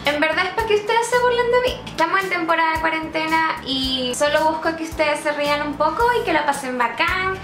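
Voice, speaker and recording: A woman's voice: very high voice, a little fast, few pauses, echoey room. A woman in her twenties with a Venezuelan accent, chatting playfully to camera in a vlog and mocking herself as she tries out Chilean slang. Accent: Venezuelan, putting on Chilean slang. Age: 20s. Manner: playful, self-mocking, laughing often, animated hand gestures. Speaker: YouTuber. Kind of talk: casual vlog answering questions.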